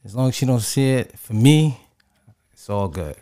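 A man from Barbados co-hosting a podcast, talking casually and haltingly, often repeating words as he restarts his sentences. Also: medium pitch, lively intonation, mellow. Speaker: podcast co-host. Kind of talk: casual chat.

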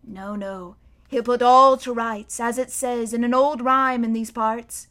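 A hearty, gloomy male beaver, grave and folksy, as a woman reads him aloud. The voice sits high and carries well. It sounds like a storybook read at bedtime. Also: steady tone, measured pace, fairly bright tone.

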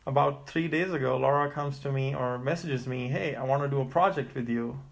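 Young man, gravelly tone, relaxed and sure of himself, casual podcast host. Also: mid-range voice, fairly quick. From India. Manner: chatty, teasing, self-assured. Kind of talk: casual chat.

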